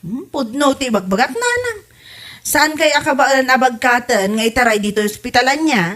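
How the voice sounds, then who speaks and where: A woman's voice: high-pitched, expressive, moderate pace, fairly bright tone. A woman playing a dutiful, outspoken grown daughter in an audio comedy-drama, earnest and admonishing. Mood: earnest, scolding. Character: dutiful, outspoken grown daughter looking after her mother.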